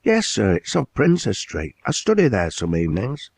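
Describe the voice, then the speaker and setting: A man voicing a bright, polite teenage boy apprentice with clear speech and a slight South East Lancashire accent, earnest and respectful. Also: lowish voice, wildly swooping pitch, moderate pace, no echo, clean studio sound, pitched above the performer's own voice, exaggerated voice.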